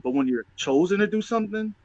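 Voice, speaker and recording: A man's voice: sits high, lively intonation, fast, a little mellow, no echo, muffled recording. A man talking casually and motivationally as a show host, emphatic and testifying, repeating phrases for stress.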